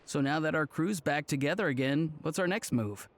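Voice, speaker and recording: A man's voice: a little high, normal speed, slight background noise, lightly acted. A man voicing an upbeat, casual young man, a playful friend in a video game. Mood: cheerful. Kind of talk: video game character acting.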